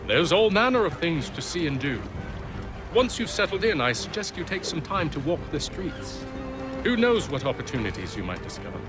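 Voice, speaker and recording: A man's voice: a little high, sing-song, normal speed, music in the background. A male actor playing an earnest, deferential young man in a video game, speaking formally and eagerly to his mentor. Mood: helpful. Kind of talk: video game cutscene acting.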